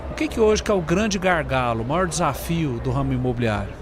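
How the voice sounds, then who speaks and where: A man's voice: fairly high pitch, animated, a little fast. A man with a Brazilian accent co-hosting a conversational podcast interview. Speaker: podcast co-host. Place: busy trade fair stand. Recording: clear urban ambient noise at trade fair.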